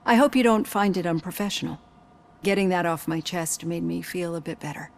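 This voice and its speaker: A woman playing a composed, probing psychologist in a video game, speaking in a measured, articulate, clinical way. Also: fairly low pitch, vocal fry, a little mellow. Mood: confiding, measured. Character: composed, probing female psychologist with prosthetic hand.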